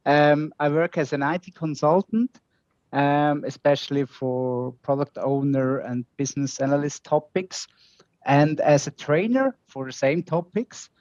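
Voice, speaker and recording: Swiss-accented man, slightly high voice, casual meeting introduction, muffled lo-fi call sound. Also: unhurried, a little mellow, no echo. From Switzerland. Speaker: IT consultant and trainer. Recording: dull, lo-fi online call audio.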